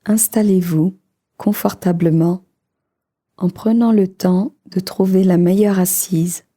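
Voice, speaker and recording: A woman leading a guided meditation for sleep in a calm, soothing, measured manner, with a soft voice that turns breathy at times. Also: lowish voice.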